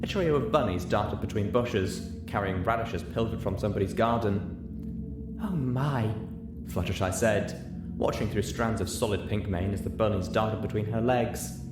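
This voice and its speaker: A man's voice: fairly low pitch, moderate pace. A man reading a story aloud as narrator, in scripted storytelling narration. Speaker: narrator of a fan fiction reading. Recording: roomy echo. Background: music.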